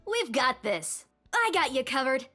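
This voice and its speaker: A tiny, cheerful fantasy gunner for a video game. The voice is extremely high and bright, with lively swoops. Every line is a cocky, punchy battle quip, delivered with feisty confidence. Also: female voice, unhurried, soft background music, exaggerated voice. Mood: gung-ho. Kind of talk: video game character voice lines.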